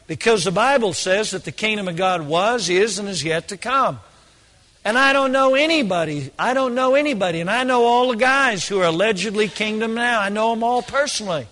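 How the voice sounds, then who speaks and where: A hoarse man preaching a sermon, emphatic and repeating phrases for stress. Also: high voice, brisk, bright, forceful tone, in a large hall.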